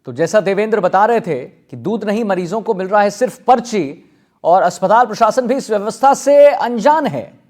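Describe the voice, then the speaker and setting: A man's voice: high voice, animated, normal speed, a little mellow. A man presenting live television news as an anchor.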